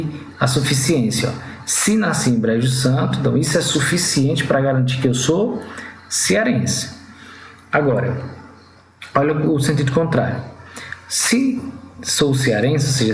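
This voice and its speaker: Creaky-voiced Brazilian man teaching logic. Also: mid-range voice, roomy sound, a little hiss or hum, poor audio. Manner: didactic, frequent "tá?" and "né?" checks, slows on key words. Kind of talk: exam-prep lecture at a whiteboard.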